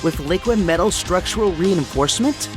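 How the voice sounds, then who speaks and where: A man's voice: sits high, animated, normal speed, over music. A man playing an earnest, brainy young inventor hero in an audio drama, with precise, technical, polite speech. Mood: intrigued.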